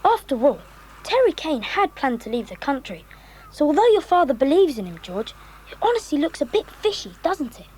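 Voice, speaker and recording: An actress playing a sensible, earnest schoolboy who leads young adventurers in an audio drama. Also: female voice, sits high, big pitch swings, moderate pace, darkish tone, slight background noise, rough recording. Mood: thoughtful. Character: sensible, optimistic boy leader of child adventurers.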